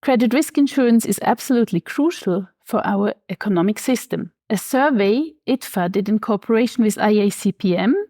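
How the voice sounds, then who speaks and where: A woman's voice: medium pitch, warm tone, no room sound, clean studio sound. Swiss woman explaining banking matters. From Switzerland. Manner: measured, precise, explanatory. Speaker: interviewed guest, banker. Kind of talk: explaining finance in an interview.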